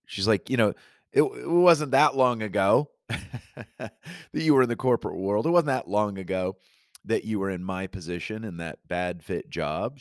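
Energetic encouraging man with a cold. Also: on the low side, dry recording, studio quality. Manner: enthusiastic, encouraging, addresses listener directly. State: has a cold, voice a little scratchy. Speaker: podcast host and coach. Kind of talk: upbeat solo podcast talk. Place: his studio.

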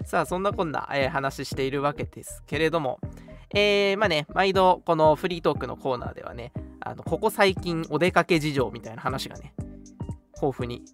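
A man's voice: a little high, a little fast, gravelly, soft background music. A man hosting a casual live-streamed radio show, chatting in a jokey, teasing way and laughing often.

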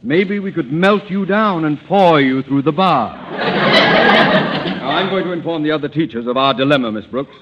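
An actor playing a pompous, irascible middle-aged school principal, blustery, sarcastic and easily flustered, in radio sitcom style. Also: male voice, on the high side, expressive, moderate pace, natural voice. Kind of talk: 1950s radio sitcom acting. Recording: dull, hissy old radio recording.

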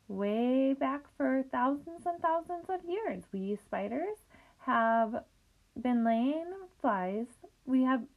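Bold clever female spider, woman reading, storybook, high voice, lively lilt, brisk matter-of-fact delivery. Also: phone-quality sound, full character voice.

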